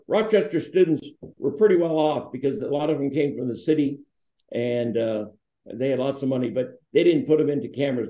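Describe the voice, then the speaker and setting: An older man, founder of a foundation, telling relaxed, rambling stories with fillers over a video call from his home. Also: medium pitch. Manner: rambling, anecdotal, with many fillers. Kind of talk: relaxed storytelling. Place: home room with wall hanging. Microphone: video call webcam. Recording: roomy, slightly dull webcam audio.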